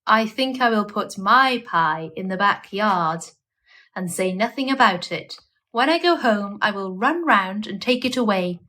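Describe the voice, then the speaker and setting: A woman's voice: medium pitch, animated, unhurried, bright, forceful tone. A woman voicing an anxious, melodramatic lady animal in a storybook, moaning and plaintive as she talks. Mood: mournful, puzzled.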